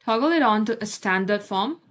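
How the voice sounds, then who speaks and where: A woman's voice: medium pitch, animated, moderate pace, a bit bright. A woman narrating a screen-recorded tech tutorial, giving plain step-by-step instructions.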